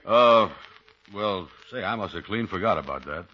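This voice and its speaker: An actor playing a slow, good-natured, unschooled man in 1940s radio comedy, with a folksy, ungrammatical drawl. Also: on the low side, animated. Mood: cheerful. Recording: dull old radio recording.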